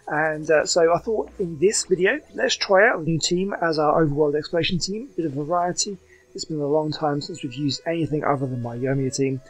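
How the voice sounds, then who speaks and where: A man's voice: a little high, brisk, rough recording. A man hosting a gaming video with casual, rambling, hesitant commentary.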